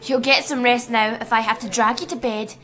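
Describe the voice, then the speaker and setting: A woman as a high-voiced, stern protective medic. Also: steady tone, fairly quick, music in the background, natural voice. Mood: exasperated, scolding. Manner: firm, scolding. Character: stern, bossy, protective female medical colleague. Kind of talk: audio drama acting.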